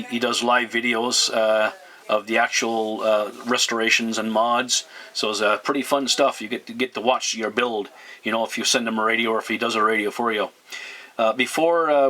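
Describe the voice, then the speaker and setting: A man's voice: mid-range voice, sharp tone. A man making casual, polite radio conversation as a CB operator, using radio jargon. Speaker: CB radio operator and YouTube channel host.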